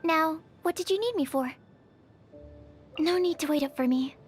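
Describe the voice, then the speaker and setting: A woman's voice: very high voice, normal speed, faint music behind. A woman voicing a shy, earnest, bookish young female alchemist in a video game, speaking politely and hesitantly. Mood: polite, focused. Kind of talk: video game character voice lines.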